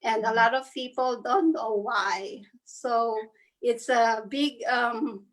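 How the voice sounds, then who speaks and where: A woman in her thirties from the Philippines introducing herself on an online panel, earnest and halting, over a video call. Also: on the high side, rough recording. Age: 30s. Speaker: panelist, spa owner.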